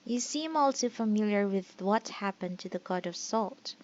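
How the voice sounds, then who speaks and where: A woman's voice: medium pitch, lively intonation, moderate pace, darkish tone. A woman giving casual, sentimental reactions as she plays through a video game, recorded on a lo-fi microphone. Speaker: game streamer. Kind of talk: casual playthrough commentary. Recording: dull lo-fi microphone.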